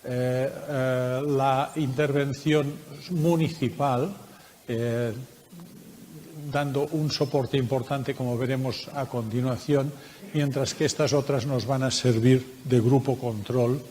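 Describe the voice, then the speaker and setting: Spanish-accented man presenting at a conference, measured and explanatory with frequent "eh"s, mid-pitched, roomy hall sound. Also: steady tone, a little mellow, a little hiss or hum. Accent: Spanish from Spain. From Spain. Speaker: researcher presenting a project. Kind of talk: conference workshop talk. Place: conference room.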